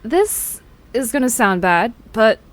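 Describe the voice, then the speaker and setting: An actress playing a level-headed young woman who takes charge of her friends in an audio drama, measured and diplomatic. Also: on the high side, very animated, slight background noise. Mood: careful.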